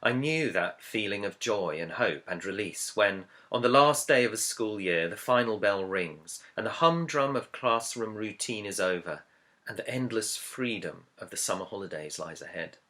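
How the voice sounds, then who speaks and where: A man, an author, reading his own book introduction as scripted narration in a measured, earnest, reflective way. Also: lowish voice, animated, sharp tone. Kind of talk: scripted audiobook-style narration.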